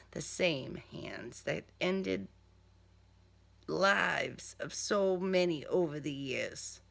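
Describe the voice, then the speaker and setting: A woman narrating a first-person crime story in a deliberate, dramatic, sardonic manner. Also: fairly low pitch, vocal fry. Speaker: author reading own crime novel.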